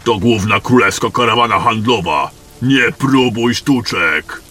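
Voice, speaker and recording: A man playing a gruff, threatening caravan guard who barks curt orders in a fantasy audio drama. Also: fairly low pitch, unhurried, bright, forceful tone, a little hiss or hum. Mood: curt, suspicious. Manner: curt, barking.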